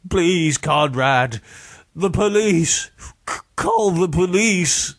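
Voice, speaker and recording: A man's voice: high voice, animated, drawn-out words, no room sound, clean studio sound, pitched above the performer's own voice, full character voice. A man voicing a beaten, frightened man who pleads in a piteous, snuffling, strained way. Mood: pleading.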